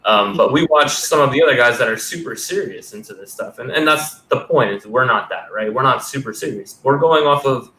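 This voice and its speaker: Rambling thirtysomething podcast host, roomy sound. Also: male voice, mid-range voice, a little fast, few pauses, sharp tone. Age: 30s. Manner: loose, rambling, swears casually. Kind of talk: casual sports podcast chat. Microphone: headset on a video call. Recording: roomy sound with faint background noise.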